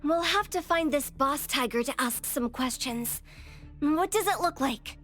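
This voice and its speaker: A woman's voice: high-pitched, animated, measured pace, fairly bright tone. A woman voicing an inquisitive, youthful girlish companion in a video game. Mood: puzzled. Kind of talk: scripted video game voice acting. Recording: music behind the voice.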